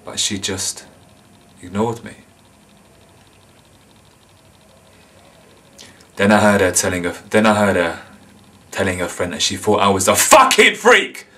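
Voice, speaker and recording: An actor playing an insane, menacing killer and resentful loner, confessing in a volatile dramatic monologue. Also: male voice, fairly low pitch, sing-song, moderate pace. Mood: agitated, hurt. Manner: confessional, volatile, swinging from hushed to explosive.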